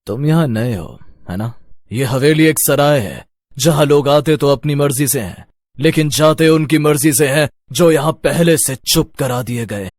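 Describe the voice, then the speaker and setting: A man playing the menacing ghost of a manor's master in a horror story, speaking ominously and deliberately. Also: mid-range voice, dry recording, clean studio sound, lightly acted.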